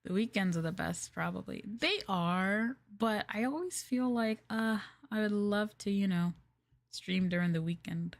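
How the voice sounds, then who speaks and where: A woman livestreamer chatting casually with viewers in a gentle, hushed, soft-spoken ASMR style, close to the microphone. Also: medium pitch, animated, a little slow, no room sound. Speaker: VTuber livestreamer.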